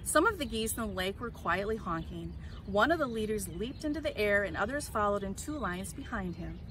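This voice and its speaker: A woman reading a children's storybook aloud in an expressive, emphatic way. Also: mid-range voice, a little fast. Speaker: story reader. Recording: clear background noise.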